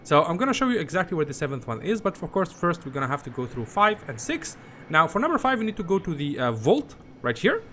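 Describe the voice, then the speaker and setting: A man's voice: fairly high pitch, very animated, quick pace, pushed and bright, a little hiss or hum. A man giving a casual, rambling game walkthrough, often repeating himself in a conversational way. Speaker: gaming video host.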